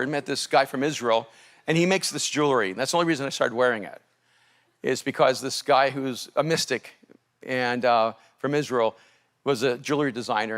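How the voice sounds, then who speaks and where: A man from El Salvador, a native Spanish speaker, preaching as a pastor and telling a story in an animated, laughing manner. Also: mid-range voice. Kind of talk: storytelling sermon.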